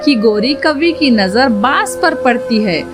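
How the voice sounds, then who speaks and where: A woman narrates a children's story in a fairly high, very animated voice. She takes her time with the scripted lines, and loud music plays behind her. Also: a little slow.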